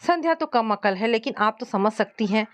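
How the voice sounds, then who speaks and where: A woman's voice: fairly high pitch, animated, a little fast, lightly acted. A woman voicing an irritable, suspicious, controlling husband in a narrated domestic story, scolding and dismissive. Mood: irritated, scolding.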